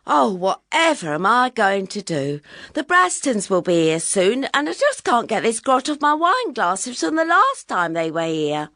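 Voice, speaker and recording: A woman plays a sarcastic, exasperated suburban housewife in a comic radio advert. Her voice is fairly high and swoops theatrically, recorded dry. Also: big pitch swings, unhurried, bright, forceful tone, dry recording, exaggerated voice. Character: harried, sarcastic suburban housewife expecting dinner guests.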